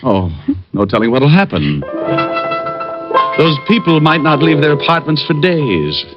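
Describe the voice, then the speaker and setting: Hammy American private eye, animated old-radio delivery. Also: male voice, fairly high pitch, measured pace. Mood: mock indignant. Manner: mock-dramatic, playful, theatrical. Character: wisecracking, hammy private detective who loves to sing. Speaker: radio actor. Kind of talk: 1940s-50s radio comedy-drama acting. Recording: muffled old radio recording, music behind.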